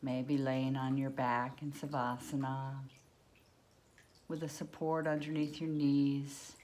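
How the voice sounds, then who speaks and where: A woman leading a guided yoga nidra meditation, speaking unhurriedly in short phrases with long pauses between them. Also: rumbling low, little pitch movement, mellow.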